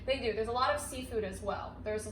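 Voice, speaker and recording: A young woman with an American accent chats casually at a quick clip, explaining things as she goes. Her voice sits a little high. It is recorded in an echoey room, away from the microphone, with clear noise. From United States. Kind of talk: casual chat. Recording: echoey room, distant mic, noticeable noise.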